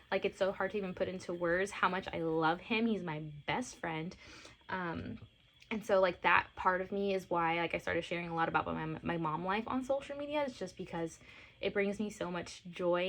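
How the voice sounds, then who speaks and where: A woman in her late twenties speaks casually to camera, gushing and emotional. She sounds teary, leans on fillers and her voice sits at a middle pitch. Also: fairly bright tone, slight background noise. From born in Costa Rica, grew up in Miami. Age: 20s. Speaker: lifestyle and mom vlogger.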